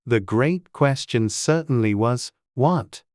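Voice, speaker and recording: An audiobook narrator reading a storybook in a measured, unhurried and gently expressive way. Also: medium pitch, no echo, studio quality.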